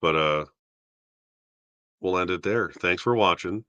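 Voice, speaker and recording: A young man with a deep voice casually rambling about awards results, self-deprecating and chuckling now and then. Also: measured pace, pushed and bright, smooth, no room sound, dull audio. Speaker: YouTube film awards commentator. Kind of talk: casual solo commentary.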